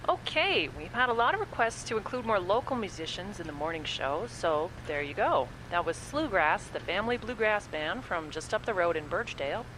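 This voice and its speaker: An actress playing Abby, a cheerful morning-show host on a small-town radio station, with a bright, upbeat announcer delivery. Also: female voice, mid-range voice, big pitch swings, moderate pace, few pauses. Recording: noisy audio drama recording.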